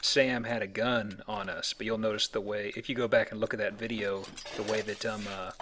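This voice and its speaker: A man's voice: fairly low pitch, fairly even intonation, a little fast, fairly bright tone, slight background noise. A man hosting a let's play with casual, explanatory game commentary and a joking streak. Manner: explanatory, jokey, voices paraphrased quotes. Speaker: let's play host and guide.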